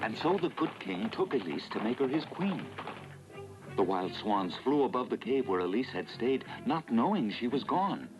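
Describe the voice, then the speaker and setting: Casting: male storybook narrator, mid-pitched, lively and dramatic delivery for an animated fairy tale. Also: moderate pace, lo-fi sound. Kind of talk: scripted storybook narration for a dubbed cartoon. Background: loud music.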